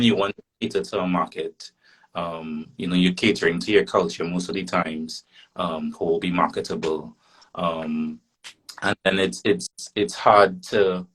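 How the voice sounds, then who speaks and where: Deep, husky-voiced musician. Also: male voice, a bit bright, rough recording. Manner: thoughtful, many "um"s and "you know"s. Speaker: musician and guest. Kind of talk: casual podcast chat.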